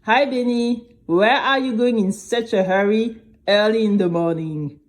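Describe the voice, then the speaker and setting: A woman voicing a kindly, knowing male neighbor in an audio drama, with a soft, measured, advising manner. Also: mid-range voice, natural voice. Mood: gently probing.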